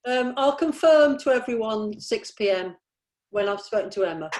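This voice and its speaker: A middle-aged woman chatting casually as a producer in a planning meeting, agreeable and a little halting. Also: on the high side, expressive, darkish tone.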